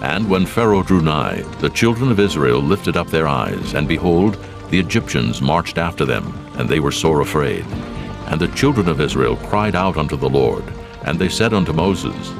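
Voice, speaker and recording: A man narrating scripture solemnly, in a grave, measured, scripted style. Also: rumbling low, big pitch swings, vocal fry. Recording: prominent music behind the voice.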